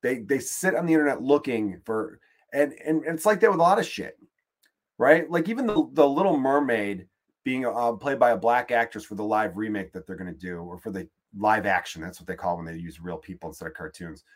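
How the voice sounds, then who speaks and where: A man's voice: medium pitch, fairly quick. A man with a Chicago accent, hosting a podcast and delivering a profane, exasperated, rambling comedic rant. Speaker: podcast host.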